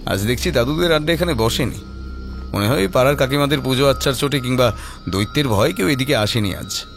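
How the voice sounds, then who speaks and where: A man's voice: medium pitch, lively intonation, fairly quick, gravelly, soft background music. A man narrating a scripted story in a dramatic storytelling style.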